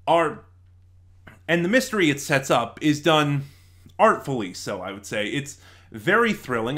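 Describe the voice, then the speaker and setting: A man giving an unscripted review in a conversational, halting way, with emphatic stresses and quick asides. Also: fairly high pitch, a bit bright. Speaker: reviewer, video host. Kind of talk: unscripted review commentary, explaining a plot.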